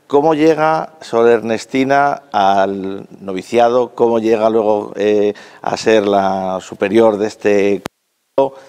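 A man in his forties interviewing in a cloister garden with a lapel mic, in a smooth, measured presenter's manner. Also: mid-range voice, a little mellow, big-room sound. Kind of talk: broadcast interview. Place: monastery cloister garden outdoors.

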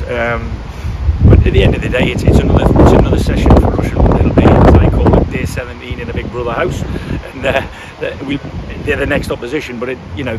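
A middle-aged man speaks frankly in a post-match interview, circling back and restarting phrases as he works out his thoughts. He is recorded outdoors by a football pitch with heavy wind noise and distant traffic behind him. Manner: frank, thinks aloud with repeated restarts. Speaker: football manager.

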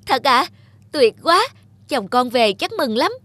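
An actress playing a dutiful, caring young peasant wife in an animated folk tale, earnest and respectful. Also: female voice, high voice, slow pace, dry recording, exaggerated voice. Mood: concerned. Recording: music behind the dialogue.

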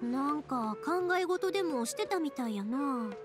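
A woman's voice: high voice, lively intonation, measured pace. A woman playing a caring, upbeat young game heroine with a Kansai accent, speaking in a reassuring, folksy way. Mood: concerned. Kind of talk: anime game voice acting. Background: background music.

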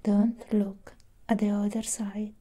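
A young woman with a thick foreign accent voicing a calm, caring, quietly controlling android doll in soft, breathy, whispered, measured ASMR roleplay. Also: mid-range voice, crowd noise, close to an everyday voice. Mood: soothing, clinical. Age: young adult. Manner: slow, measured, hypnotic instructions. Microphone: close ASMR microphone.

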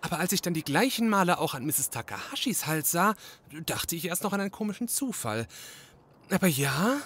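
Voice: medium pitch, sing-song, a little fast, fairly bright tone, husky, full character voice. A performer playing an eager, short male detective's assistant in a comedy audio drama, earnest and hesitant. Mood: puzzled, eager.

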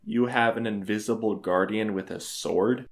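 A woman's voice: rumbling low, moderate pace. A woman playing a sceptical, bewildered young Roman nobleman in an audio drama, hesitant and incredulous. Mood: puzzled, doubtful.

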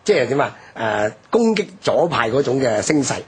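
A man with a Hong Kong Cantonese accent gives an unscripted, emphatic explanation as a history commentator on radio, his voice husky and rough. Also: medium pitch, sing-song, moderate pace. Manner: explanatory, emphatic, frequent rhetorical tags. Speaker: history commentator, programme guest.

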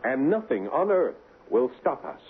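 An actor playing a devoted, defiant young fiancé in a 1970s radio drama, talking casually and emphatically. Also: male voice, medium pitch, very animated, normal speed. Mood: teasing, resolute. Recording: muffled narrow-band old radio, faint noise.

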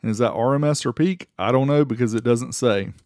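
A man's voice: medium pitch, fairly quick, darkish tone, dry recording, studio quality. A middle-aged American man giving a casual product review voice-over, with playful, showy emphasis. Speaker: host of car audio amplifier review channel. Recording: music faintly behind the voice. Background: background music.

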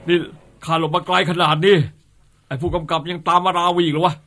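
A man playing a rough middle-aged outlaw leader who gives orders in coarse, gruff rural speech. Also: sits high, level tone, a little fast, noisy background, lightly acted. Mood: alarmed. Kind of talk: radio-style drama acting.

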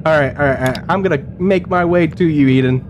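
A man chatting casually with friends while playing a game, excitable and quick to swear. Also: a little high, noisy background. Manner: profane, excitable. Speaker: gaming video player. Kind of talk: casual gameplay chat with friends.

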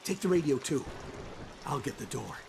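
Casting: downtrodden middle-aged helper in game drama, nervy resolve, fry-edged voice, clear background noise. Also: male voice, medium pitch, expressive, moderate pace. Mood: nervous resolve. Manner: anxious, urgent. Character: timid downtrodden male lackey, nervously determined. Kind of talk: video game cutscene acting. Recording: noisy ambience behind.

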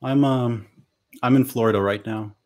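A man's voice: mid-range voice, steady tone, normal speed, darkish tone. A young man from Lithuania, a native Lithuanian speaker, chatting casually on a livestream from a small bedroom through a headset, hesitant with frequent ums. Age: young adult. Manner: hesitant, many ums and restarts. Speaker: author hosting a livestream. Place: small bedroom at home, indoors.

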